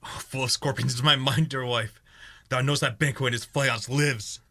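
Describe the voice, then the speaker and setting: A man's voice: mid-range voice, fairly even intonation, normal speed, sharp tone. A young man playing a tormented, scheming new king in a Shakespearean radio play, with dramatic, intense verse delivery. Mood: tormented, darkly plotting. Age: young adult.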